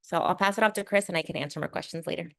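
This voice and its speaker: A woman's voice: on the low side, racing, gravelly, no room sound, clean studio sound. An American woman in her thirties, speaking conversationally and earnestly as a panelist about her own experience. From California, USA. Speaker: panelist, business school alumna.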